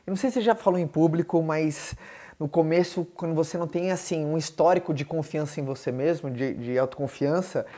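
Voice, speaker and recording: Fast-talking energetic man. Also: a little high. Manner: energetic, emphatic, many fillers. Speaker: podcast host answering questions. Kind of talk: casual motivational talk.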